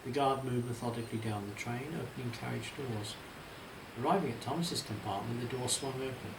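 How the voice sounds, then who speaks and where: A man's voice: medium pitch, fairly quick, vocal fry, noisy background. A man reading his own nostalgic story as scripted storybook narration, in a roomy, slightly distant recording. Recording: roomy, echoey, distant, music behind. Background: music.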